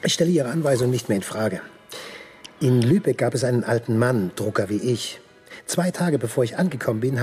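A man's voice: medium pitch, darkish tone, close to an everyday voice. Wry, laconic, hard-bitten man played by an actor. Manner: laconic, dryly ironic. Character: wry, hard-bitten German printer and former camp prisoner. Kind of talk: radio crime drama acting.

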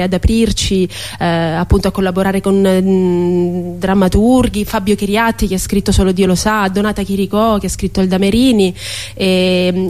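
A woman speaking as a theatre guest in a spontaneous radio interview, earnest and hesitant, with reflective pauses. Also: medium pitch, darkish tone.

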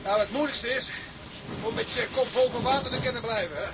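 Plucky blunt sailor, super high voice, seafaring drama, lots of sea noise. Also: male voice, fairly quick. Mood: matter-of-fact. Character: plucky, gruffly plain-spoken male salvage sailor. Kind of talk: Dutch seafaring drama acting. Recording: loud sea and engine noise.

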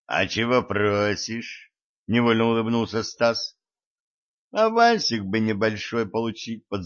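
A man narrating an audiobook, reading the dialogue with small shifts for each character. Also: medium pitch. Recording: dull lo-fi audio.

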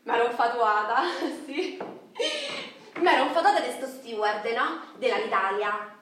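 An actress playing a frustrated, naive and dreamy working-class Roman wife in a radio play, rambling colloquially with a Roman accent. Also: female voice, fairly high pitch, normal speed, fairly bright tone, natural voice. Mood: wistful, amused. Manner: colloquial, rambling, tags phrases with "no?" and "eh". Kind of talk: theatrical radio drama monologue in dialect. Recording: roomy, echoing, distant mic, faint noise.

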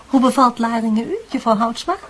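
A woman's voice: a little high, brisk, fairly bright tone, slight background noise, close to an everyday voice. An actress playing a soothing middle-aged mother of a grown son in a radio drama, with a placating manner. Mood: sociable. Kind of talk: radio drama acting in Dutch.

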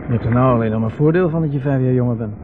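A man's voice: mid-range voice, racing, background noise, lightly acted. An actor playing a reclusive, sardonic man in his thirties in a Dutch radio drama, dry and evasive. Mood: wry, evasive. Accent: Netherlands Dutch. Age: 30s. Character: reclusive, wry older brother living on an island. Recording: muffled, lo-fi vintage radio recording.

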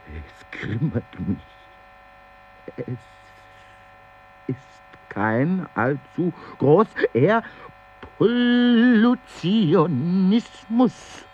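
An actor playing an agitated man in an experimental radio play, reciting in slow, broken phrases with wordplay. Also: on the high side, very animated, husky, full character voice. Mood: raving. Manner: drawn-out, fragmented, word-play delivery. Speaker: radio drama performer. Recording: dull broadcast tape with mains hum.

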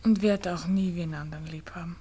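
An actress playing a shy, devoted young woman in a radio drama, speaking tenderly and often hushed, with a Viennese accent. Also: fairly low pitch, steady tone, fairly quick, husky. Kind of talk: German-language radio drama acting.

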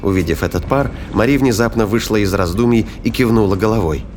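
A man's voice: lowish voice, fairly quick, darkish tone, a little hiss or hum. A man giving scripted, dramatic narration of a science fiction story as its narrator. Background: music.

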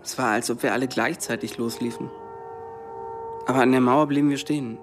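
A man's voice: mid-range voice, steady tone, brisk, slight background noise, close to an everyday voice. An actor playing a Pakistani man recounting what he witnessed at night, in vivid first-person radio drama narration. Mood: tense recollection. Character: male Pakistani eyewitness recounting a night raid.

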